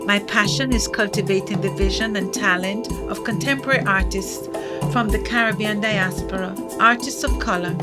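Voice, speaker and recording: A woman's voice: mid-range voice, normal speed, loud music behind the voice. An older woman hosting an arts podcast, reading a scripted introduction and then interviewing a guest with encouraging questions. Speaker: podcast host, curator.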